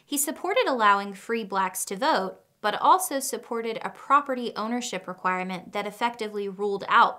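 A woman's voice: medium pitch, bright, forceful tone. Young woman narrating a scripted lesson. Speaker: educational video presenter. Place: studio with a plain wooden backdrop.

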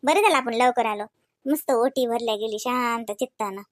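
A woman's voice: on the high side, normal speed, no room sound, studio quality, lightly acted. A woman voicing a devout, caring, bossy village aunt in a comedy cartoon, with a homely Varhadi Marathi accent. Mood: chatty. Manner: chatty, homely, instructing.

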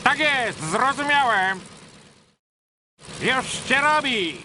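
A man voicing an excitable, boastful dwarf gyrocopter pilot for a video game, in short theatrical exclamations. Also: very high-pitched, very slow, exaggerated voice. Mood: cocky, gleeful. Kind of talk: video game unit quotes, Polish dub. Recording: loud engine noise behind voice.

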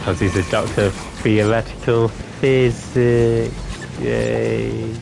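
A man's voice: level tone, slow pace, vocal fry, over music. A man, casually chatting with his live-stream viewers while gaming, playful and jokey. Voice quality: deep voice. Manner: playful, jokey, bursts into silly singing.

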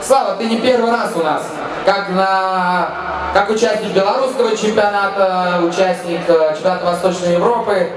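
A man announcing a motorsport event to the crowd, loud and energetic, shouting over the track. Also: high-pitched, moderate pace, background noise. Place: outdoor drift track. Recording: echoey PA sound from far off with music.

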